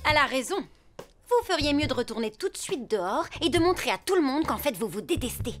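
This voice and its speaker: A woman's voice: high-pitched, sing-song, fairly quick, music in the background. A woman playing a blunt, self-centred pet cat in a French cartoon, with a disdainful, superior delivery. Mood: bossy. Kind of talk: French animated cartoon dub.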